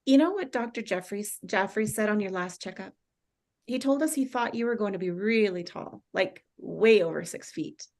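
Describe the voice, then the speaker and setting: A woman reading the part of a gentle, reassuring mother, soft-spoken and tentative, at a script table read. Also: mid-range voice, moderate pace, lightly acted. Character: gentle, unsure, reassuring mother of a young son.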